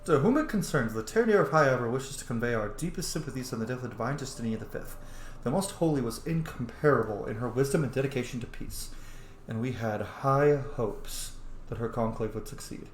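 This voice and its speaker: A man gives casual, opinionated commentary on a roleplaying game. His pitch swings in a lively way as he chats and reads text aloud. The room is echoey, with faint noise behind him. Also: fairly quick. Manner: chatty, opinionated, reacting aloud. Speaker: video game streamer and roleplayer. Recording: roomy sound with faint background noise.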